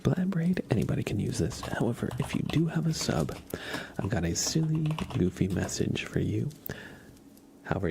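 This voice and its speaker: A man streaming ASMR, chatting softly and gently in a breathy, often whispered voice, unhurried and informal, close to the microphone. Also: on the low side, slight background noise. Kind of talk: soft-spoken ASMR livestream chat.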